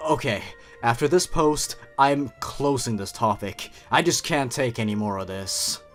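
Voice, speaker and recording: A man's voice: medium pitch, expressive, measured pace, fairly bright tone, faint music behind. A man hosting a review show, giving scripted, opinionated commentary with sarcastic asides. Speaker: host of a horror story review channel. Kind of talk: scripted review commentary with story read aloud.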